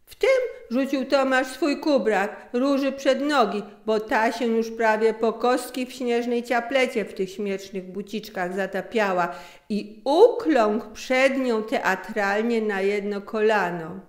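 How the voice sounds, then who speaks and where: A middle-aged woman narrating a scripted story in an unhurried, expressive manner. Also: on the high side. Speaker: author and narrator. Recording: roomy, echoing sound.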